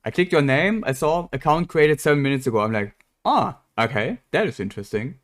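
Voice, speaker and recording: A man's voice: a little high, animated, a little fast, a bit bright. A man chatting casually as he streams a game, blunt and sweary in his rambling. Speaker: game livestreamer. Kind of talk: casual gaming stream chat.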